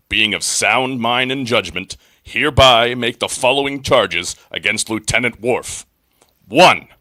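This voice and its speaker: Grandiose officer, male podcaster acting: proclaiming, lively swings in pitch, dry sound, sci-fi radio play. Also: no room sound. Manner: pompous, proclaiming. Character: grandiose ship's officer reading mock charges. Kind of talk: amateur script read of sci-fi film.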